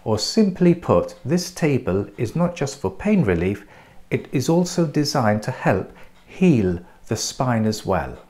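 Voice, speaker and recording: A man in his forties presenting a clinic's treatment in a measured, explanatory scripted voice, recorded on a lapel mic in a bright treatment room. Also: medium pitch, big pitch swings. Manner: measured, explanatory, pointing things out.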